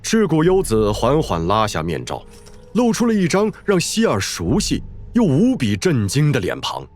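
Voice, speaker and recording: A man's voice: medium pitch, wildly swooping pitch, normal speed, a little mellow. A man delivering dramatic scripted narration for a story. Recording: background music under the voice.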